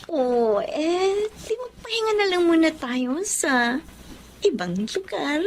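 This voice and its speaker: A woman's voice: high voice, big pitch swings, slow, heavily acted. A woman playing a flirtatious, brazen friend in a Filipino drama, coy and mixing in English phrases. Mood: seductive. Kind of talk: Filipino radio-style drama acting. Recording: clear background noise.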